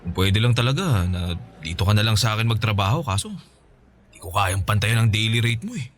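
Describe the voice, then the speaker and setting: Actor as bright-voiced, teasing Filipino buddy. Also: male voice, on the low side, lively intonation, a little fast, a little hiss or hum. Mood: grateful, friendly. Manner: chatty, teasing, chuckling buddy talk. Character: teasing, sociable male friend, small business owner and single father. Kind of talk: acted radio drama dialogue in casual banter.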